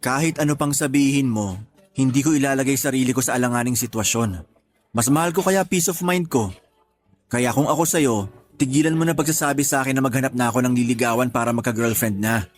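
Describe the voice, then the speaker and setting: A man's voice: mid-range voice, brisk, lightly acted. Man playing defensive, stubborn bachelor, music behind. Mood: firm, defensive. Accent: Filipino. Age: 30s. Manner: defensive, terse, irritated replies. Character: stubborn, principled 31-year-old bachelor, homebody son. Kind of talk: radio drama dialogue.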